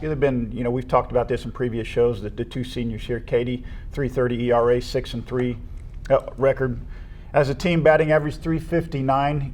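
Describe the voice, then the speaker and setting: Male co-host, American, analytical and matter-of-fact sports chat, medium pitch, some background rumble. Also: darkish tone. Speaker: co-host of a school athletics show. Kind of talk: conversational sports discussion.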